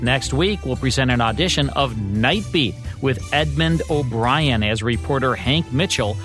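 A man's voice: mid-range voice, lively intonation, unhurried, a bit bright, soft background music. A man co-hosting a radio show, reading scripted narration. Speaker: radio co-host.

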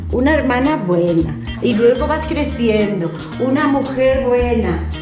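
A woman's voice: a little high, expressive, measured pace. An older woman talks earnestly and with emphasis, now and then restarting her sentences. She sounds far from the mic in a very echoey room. Faint music plays underneath. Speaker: member of a senior women's activist group. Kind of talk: interview testimony.

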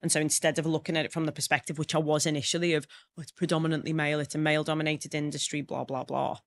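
A woman with a Liverpool accent, a public speaker and trainer, telling her story as a guest in a podcast interview. She speaks as a lively storyteller and acts out the voices of people she quotes. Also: sits low, racing. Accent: Liverpool (Scouse). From Liverpool, England.

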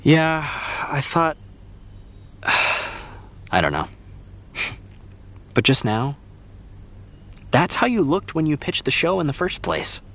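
A man's voice: on the high side, big pitch swings, takes it slowly, pauses often, sharp tone, full character voice. A young American man acting as a laid-back, supportive friend in a fiction podcast, with casual, teasing, slangy delivery. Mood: frank, supportive. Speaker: voice actor. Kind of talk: naturalistic fiction podcast dialogue.

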